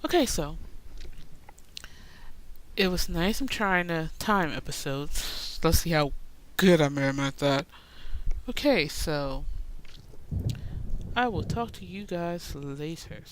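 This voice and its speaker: A woman's voice: low-pitched, lively intonation, takes it slowly, long pauses, slight background noise, poor audio. A woman hosting a gaming video with casual commentary, chatty and full of teasing asides to the game characters. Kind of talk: casual let's-play commentary.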